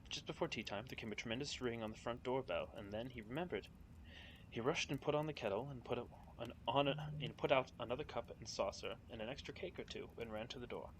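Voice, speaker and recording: A young man reads a children's novel aloud to his stream audience from home, his voice mid-pitched. He sounds a little nervous and giggly, stopping to chat with viewers. A faint room hum sits behind him. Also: fast talker, poor audio. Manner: giggly, nervous, chats with viewers between passages. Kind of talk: casual live-stream book reading. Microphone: home streaming mic.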